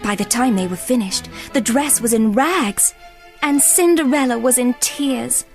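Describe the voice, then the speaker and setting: Lady reading a kids fairy tale, slow and expressive, soft music behind. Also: on the high side. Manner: expressive, dramatic. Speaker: storyteller. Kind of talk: scripted children's story narration.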